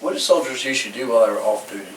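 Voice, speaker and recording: A man's voice: medium pitch, animated, racing. A man interviewing a veteran, polite and often saying "yes, sir.". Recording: echoey room, mic far off, faint noise.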